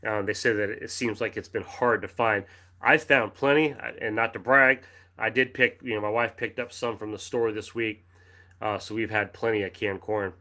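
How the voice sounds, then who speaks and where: A middle-aged American man hosting a casual livestream, reading out viewer comments in a friendly, rambling way with restarts and throat clearing, his throat dry and a cough drop in his mouth. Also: on the low side, steady tone, normal speed, bright, forceful tone. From United States. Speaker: livestream host reading chat. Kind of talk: casual live chat.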